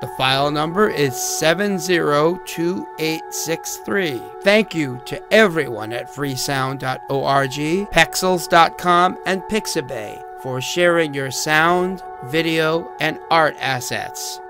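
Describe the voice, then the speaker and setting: A male narrator delivers scripted end credits in a measured announcer manner. His pitch sits a little high and his pace is very unhurried. Music plays clearly underneath.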